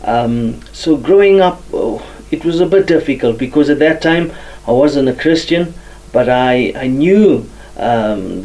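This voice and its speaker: Soft-spoken man from Zimbabwe, mic distant. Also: mid-range voice. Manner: many fillers, soft-spoken. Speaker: guest. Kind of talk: hesitant personal testimony.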